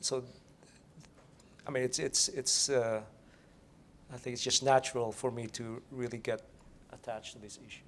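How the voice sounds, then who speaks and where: A man from the Philippines, a researcher on a panel, speaking haltingly with many restarts. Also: mid-range voice, little pitch movement, slight background noise. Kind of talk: conference panel talk.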